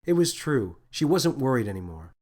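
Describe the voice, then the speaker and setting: A man reading a scripted story as its narrator, with a medium-pitched voice. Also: big pitch swings, normal speed, a little mellow. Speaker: author reading own story.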